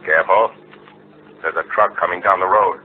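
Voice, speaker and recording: A man acting a deep, sinister radio avenger. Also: steady tone, normal speed, lightly acted. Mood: calm menace. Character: sinister male hypnotist avenger, calm and menacing. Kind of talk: old-time radio drama acting. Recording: muffled, noisy old radio recording.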